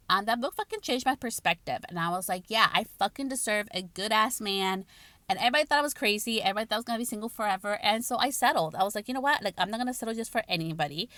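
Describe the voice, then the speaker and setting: A woman's voice: medium pitch, a bit bright, dry recording. Candid woman in her thirties, slight accent. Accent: Guatemalan Spanish. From Guatemala. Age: 30s. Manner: candid, frequent swearing and filler likes. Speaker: podcast host. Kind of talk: casual personal talk.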